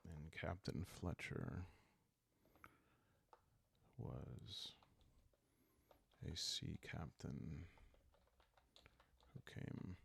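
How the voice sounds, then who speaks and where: A man speaking softly and calmly in ASMR style, improvising a story aloud as he hosts a solo roleplaying game, thinking out loud with long pauses. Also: rumbling low, creaky, breathy edge. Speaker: host playing a solo journaling roleplaying game.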